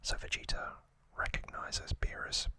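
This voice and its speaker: A man whispering an ASMR recap as host, in short, deliberate phrases with pauses between them.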